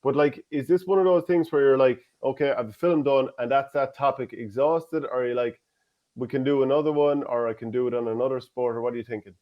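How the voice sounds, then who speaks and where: A man co-hosting a show, asking relaxed, casual questions of a guest. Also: medium pitch, fairly quick. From Ireland. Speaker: podcast co-host. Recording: slightly dull lo-fi sound.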